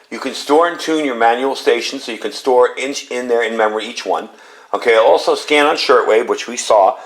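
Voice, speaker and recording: A man's voice: lowish voice, a bit bright. A man giving a casual, plain-spoken, hands-on product review in a conversational, explanatory way. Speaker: gadget reviewer presenting a product demonstration. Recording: noisy, with radio static and background hiss.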